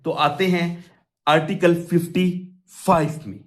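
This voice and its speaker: Law tutor: man, Pakistani accent, fairly high voice, explains by posing rhetorical questions and repeating key points. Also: steady tone, measured pace. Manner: asks rhetorical questions and answers them, repetitive, didactic. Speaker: law lecturer. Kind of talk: explanatory exam lesson, reading articles aloud then explaining.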